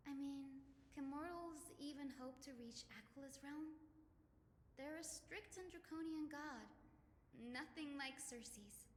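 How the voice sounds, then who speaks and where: High sweet young woman, echoing hall reverb. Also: takes it slowly, a little hiss or hum. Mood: earnest. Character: young female physician, sweet, earnest, lightly playful. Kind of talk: scripted video game dialogue. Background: music.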